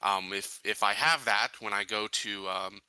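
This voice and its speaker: A man playing a tabletop roleplaying game, speaking casually and haltingly as he searches for words. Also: fairly low pitch, sharp tone, smooth, no room sound, poor audio.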